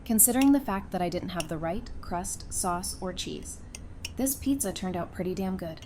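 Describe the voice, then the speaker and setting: A woman's voice: fairly low pitch, lively intonation, normal speed, darkish tone, lightly acted. Wry, teasing Talissa, played by a woman. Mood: playful, teasing. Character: Talissa, sassy, teasing, warm-hearted woman. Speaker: voice actor in a fan audio drama. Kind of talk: acted audio drama dialogue. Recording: faint background ambient noise.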